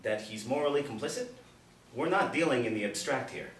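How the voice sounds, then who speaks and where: A man's voice: mid-range voice, expressive, fairly quick, a bit bright, natural voice. A man reading the part of a resolute, matter-of-fact military lieutenant at a script table reading. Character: resolute male military lieutenant hunting old Nazis. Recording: roomy, distant mic, light room noise.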